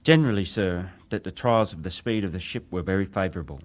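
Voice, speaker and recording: An actor playing a young British wireless operator giving testimony in a formal, deferential, precise way, as in a dramatized hearing. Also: male voice, low voice, fast, close to an everyday voice. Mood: precise, factual.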